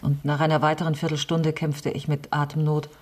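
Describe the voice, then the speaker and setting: An actress playing an embittered, outspoken West German politician in a radio crime drama, with a husky voice and sardonic, emphatic delivery. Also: female voice, low voice, level tone, brisk, darkish tone. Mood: wry, reflective. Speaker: lead actress and first-person narrator.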